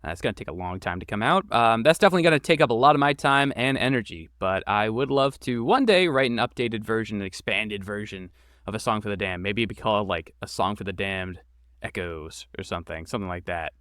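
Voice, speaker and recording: A man who creates and voices games, answering interview questions in a thoughtful, relaxed way with many fillers. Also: lowish voice, quick pace, no echo, clean studio sound. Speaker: game creator and voice actor. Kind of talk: podcast interview guest.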